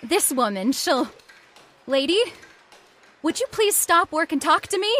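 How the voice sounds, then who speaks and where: A woman's voice: high-pitched, very animated, measured pace, a bit bright, full character voice. A woman playing a distraught, insistent young heiress, dramatic and disbelieving, in an old-time style radio horror drama. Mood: pleading, insistent. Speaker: actor in audio horror drama.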